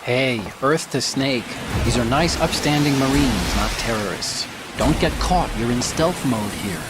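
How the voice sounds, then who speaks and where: An American actor playing a brainy, self-assured hacker in a video game, explaining things in an earnest, confident manner. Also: male voice, mid-range voice, animated, unhurried, close to an everyday voice. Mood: confident, teasing. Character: brainy, self-assured male tech-support partner and hacker. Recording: heavy rain and background noise.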